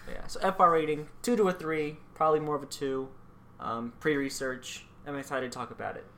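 A young man co-hosting a podcast in casual chat, often pausing with ums and restarting his sentences. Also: fairly high pitch, steady tone, a bit bright, slight background noise. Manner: hesitant, many ums and restarts. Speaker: podcast co-host.

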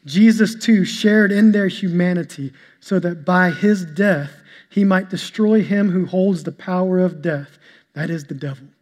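A male pastor preaching a sermon to his congregation, with an even delivery he describes as monotonous. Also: high voice. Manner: calls himself monotonous and boring-voiced; preaching, shifts to a soft, slow prayer. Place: church sanctuary. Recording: long hall reverb with soft instrumental music.

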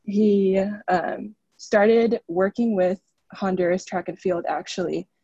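Husky young woman, chatty and upbeat. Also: medium pitch, steady tone, slow, warm tone, no echo, lo-fi sound. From Oregon. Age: 20s. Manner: chatty and upbeat, laughs often. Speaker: student athlete guest. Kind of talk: podcast interview.

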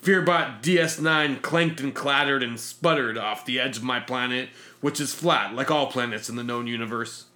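A man's voice: medium pitch, normal speed, hardly any pauses, sharp tone. A man narrating a comic sci-fi story in a pompous, self-important, theatrical manner. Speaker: narrator reading a fictional diary.

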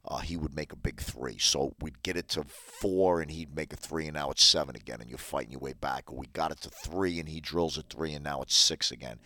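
Male coach, very deep and bright-toned, methodical podcast guest. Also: a little fast, few pauses. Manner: methodical, explaining game plans point by point. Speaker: basketball coach. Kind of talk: podcast interview.

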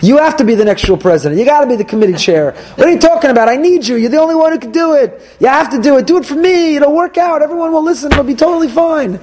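A man voicing God as a pleading, insistent figure who coaxes a reluctant leader with rapid reassurances. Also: very high-pitched, animated, darkish tone, slight background noise, voice pushed up. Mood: pleading, coaxing.

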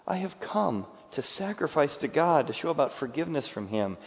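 A man preaches a sermon in a big space, his words trailing off into a long reverb. He acts out the people he quotes and swings between loud bursts and soft, hushed lines. The recording sounds dull and muffled. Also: fairly high pitch, sing-song, moderate pace. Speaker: pastor.

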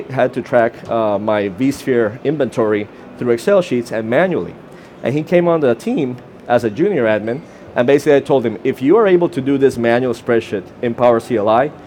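A man's voice: mid-range voice, a little mellow, a little hiss or hum. A man with a Costa Rican Spanish accent gives a short, informal conference talk, with fillers and restarts. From Costa Rica. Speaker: conference presenter. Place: conference session room.

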